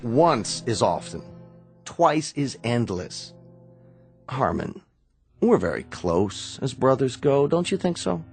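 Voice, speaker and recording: An exasperated younger brother, a physician in a radio drama. He speaks at a medium male pitch, emphatic and sardonic, with every point articulated. Music runs underneath. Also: big pitch swings, slow, vocal fry, exaggerated voice. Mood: weary, firm.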